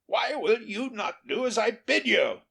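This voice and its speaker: Man doing a blunt peasant charcoal burner, animated. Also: sits high, measured pace, gravelly, breathy edge, pitched above the performer's own voice, exaggerated voice. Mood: bossy, indignant. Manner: blunt, plain-spoken. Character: poor, blunt, honest peasant charcoal burner, hospitable but gruff.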